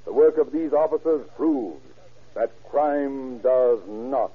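A man's voice: medium pitch, takes it slowly, close to an everyday voice. A man narrating an old radio crime drama in a grave, formal, moralizing manner. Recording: tinny old radio recording.

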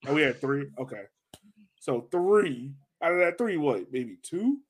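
A man's voice: on the high side, lively intonation, unhurried, husky. A man hosting a sports podcast, chatting casually about a soccer match in informal, slangy speech.

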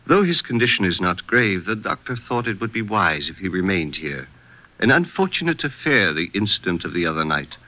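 A man's voice: low-pitched, very animated, fairly quick, pushed and bright, exaggerated voice, rough recording. A man playing a courteous retired Egyptian statesman in an old-time radio drama, with formal, gracious phrasing. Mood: courteous, grave.

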